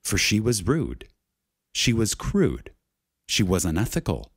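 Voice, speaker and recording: Slow, solemn man with a deep, husky voice. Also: wildly swooping pitch, no room sound, clean studio sound. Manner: solemn, emphatic, with deliberate pauses. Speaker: reader of a spiritual lecture. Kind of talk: reverent, dramatic reading of a metaphysical lecture.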